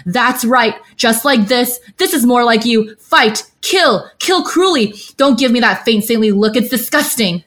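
A gleefully cruel ghost villain, male, put on by a woman for a dramatic story. He mocks and goads with a howling, raised pitch, relishing every taunt. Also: animated, unhurried, few pauses, fairly bright tone. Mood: gleeful goading. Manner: howling, mocking, goading.

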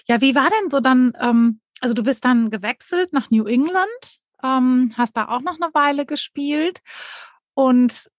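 Higher-voiced woman asking questions. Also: animated, a little slow, dry recording, clean studio sound. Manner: asks several questions in a row. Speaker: interviewer. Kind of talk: interview questions.

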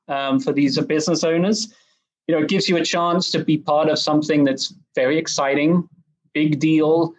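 A man from England with an English accent, a guest on a podcast interview, explaining his work in a measured, articulate way. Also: mid-range voice, highs cut off. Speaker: guest, M&A advisor.

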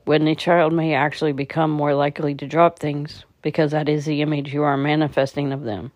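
A woman leading a guided meditation in a calm, measured, soothing manner. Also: sits low, dry recording.

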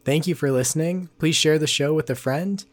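A man's voice: on the high side, a little fast, no room sound. A fairly young man hosting a podcast in a casual, conversational style. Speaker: podcast host. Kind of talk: casual interview chat and podcast sign-off.

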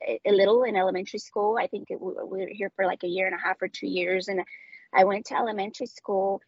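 A Colombian woman with Spanish as her first language talks through a casual interview, halting and restarting her sentences. Her voice is gravelly and breathy. The dry, dull audio sounds like a video call. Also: mid-range voice, steady tone, no echo. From Colombia, Medellín. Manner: hesitant, many restarts and fillers. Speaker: guest, customer success director.

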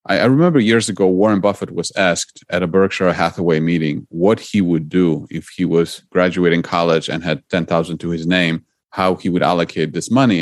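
A man's voice: deep voice, dry recording, clean studio sound. A middle-aged man from Poland, earnestly explaining his investing path as a podcast guest. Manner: earnest, explanatory, some repeated starts. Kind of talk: interview answers.

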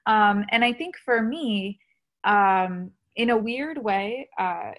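A woman's voice: medium pitch, expressive, slow pace, a bit bright. A woman being interviewed, talking earnestly and reflectively with frequent fillers. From India. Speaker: guest. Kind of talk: conversational interview.

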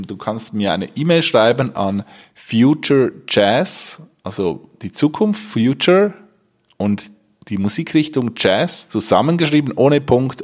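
A man's voice: lowish voice, no room sound, studio quality. Casual male podcast host, mid-range voice. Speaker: podcast host and street interviewer. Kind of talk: casual street interview and podcast chat.

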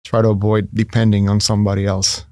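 A man's voice: on the low side, little pitch movement, fast talker, dark tone, no echo, clean studio sound. A man in his thirties from Ecuador, a guest in a casual interview, talking earnestly with many fillers and restarts. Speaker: guest, entrepreneur.

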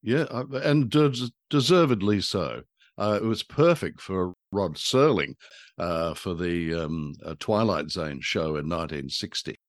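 Casting: older male co-host, deep voice, close dry sound, conversational. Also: lively intonation, a little slow, no echo. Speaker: podcast co-host. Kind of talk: casual chat about a radio drama.

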